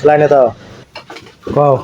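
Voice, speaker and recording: A man's voice: on the high side, level tone, slow pace, warm tone. A man with an eastern Bengali dialect arguing casually on a recorded call, insistently repeating his phrases. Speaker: YouTube video creator. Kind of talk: casual domestic argument on a call. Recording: dull lo-fi phone call recording, faint noise.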